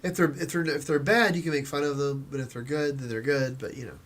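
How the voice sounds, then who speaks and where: A man's voice: on the high side, fast, a bit bright, a little hiss or hum. A man with a stuffed-up nose co-hosting a livestream, talking casually with many restarts and easy laughs. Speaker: co-host of a livestream. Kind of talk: casual chat.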